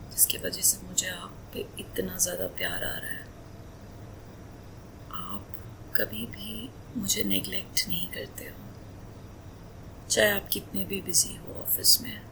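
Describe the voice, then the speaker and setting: Woman playing a slow, creaky-voiced, affectionate wife. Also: medium pitch. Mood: tender, grateful. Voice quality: breathy half-whisper. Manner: tender, lightly teasing, unhurried. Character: affectionate, playful, devoted Indian wife adoring her husband. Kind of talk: intimate romantic roleplay ASMR. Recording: faint background noise.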